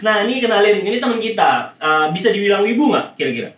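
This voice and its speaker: A man's voice: high voice, very animated, fast, a bit bright, far from the mic. A young man hosting a casual group chat in a teasing, playful manner. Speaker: podcast host. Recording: roomy, off-mic, faint noise.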